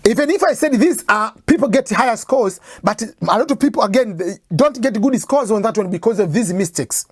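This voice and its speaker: A woman's voice: fairly high pitch, lively intonation, fairly quick, a little mellow, husky, no room sound. A woman from East Africa with an East African accent, a native Swahili speaker, gives an informal lecture on English test preparation and keeps restating her points with examples. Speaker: English test-prep teacher.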